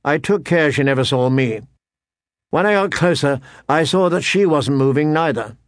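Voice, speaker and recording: A man's voice: a little high, normal speed, dry recording, studio quality, lightly acted. A man voicing a wary, rustic scout and former poacher, plainspoken and deferential, in an audiobook fantasy tale. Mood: uneasy.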